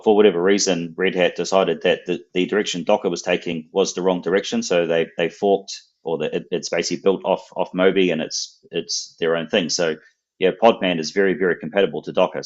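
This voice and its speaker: Deep-voiced man with a Kiwi accent. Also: muffled recording. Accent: New Zealand. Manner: chatty, repeats words while thinking. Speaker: guest. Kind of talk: casual technical explanation on a livestream.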